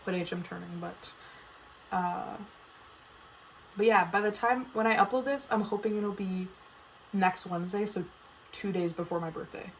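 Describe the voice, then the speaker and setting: A woman's voice: mid-range voice, fairly even intonation, moderate pace, long pauses, a little hiss or hum, poor audio. A teenage girl hosting her own podcast, chatting casually and playfully dramatic, with lots of fillers. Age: teens. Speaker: podcast host. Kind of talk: casual solo podcast chat.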